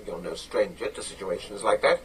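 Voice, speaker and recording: An actor playing an authoritative older British intelligence chief in a radio drama, gruff, clipped and matter-of-fact. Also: male voice, deep voice, fairly quick, fairly bright tone, far from the mic, a little hiss or hum. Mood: brisk, authoritative.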